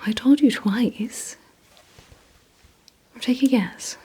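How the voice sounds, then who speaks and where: Slow, breathy whispering woman. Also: a little high. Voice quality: breathy, mostly unvoiced whisper. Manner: slow, deliberate, gently instructing. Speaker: ASMR host. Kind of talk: whispered ASMR vampire roleplay, addressing the listener.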